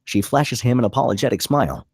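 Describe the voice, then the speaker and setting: A man's voice: medium pitch, very animated, fast talker, breathy edge, dry recording, studio quality. A man reading stage directions as dramatic scripted narration at a table read.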